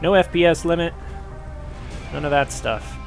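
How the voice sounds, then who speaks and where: A man's voice: a little high, vocal fry, music in the background. Stuffy-nosed man rambling over gameplay. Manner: rambling, frequent "uh" pauses. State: stuffy from a cold. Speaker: gaming channel host. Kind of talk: casual gameplay commentary.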